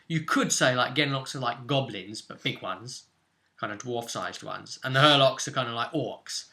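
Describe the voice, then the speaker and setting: A man's voice: medium pitch, moderate pace, vocal fry, pushed and bright. A man hosting as game master, casually explaining a tabletop role-play session in loosely phrased speech with asides.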